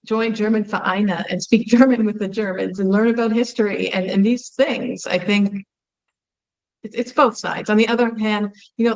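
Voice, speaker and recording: A woman's voice: medium pitch, poor audio. A woman hosts an interview, giving her views in an earnest, conversational way. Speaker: host, company founder.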